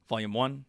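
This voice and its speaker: A man's voice: mid-range voice, a bit bright, dry recording, studio quality. A man hosting a livestream, explaining things casually and playfully with some hesitation. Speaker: livestream host.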